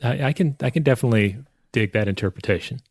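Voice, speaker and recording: A man's voice: mid-range voice, fast talker, husky, no echo. A man co-hosting a podcast, speaking conversationally into a close mic. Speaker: podcast co-host. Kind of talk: casual chat, scripted ad reads and listener mail.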